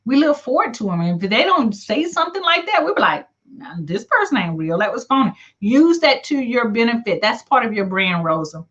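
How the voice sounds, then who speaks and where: A woman's voice: fairly high pitch, sing-song, brisk, fairly bright tone. Southern country woman chatting, dull lo-fi audio. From southern United States. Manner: encouraging, folksy, colloquial. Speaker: livestream host and coach. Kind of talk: casual chat reading live comments.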